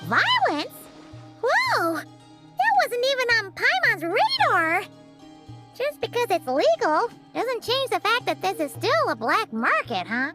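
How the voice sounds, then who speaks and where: A woman voicing a tiny, excitable female fairy companion in a video game, with a squeaky childlike voice. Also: takes it slowly, over music, heavily acted. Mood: alarmed. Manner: exclamatory, refers to self in third person.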